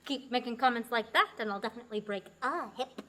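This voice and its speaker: A woman playing a brisk, dry, self-assured aspiring knight in a radio play. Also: on the high side, a bit bright, lightly acted. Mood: dry, teasing.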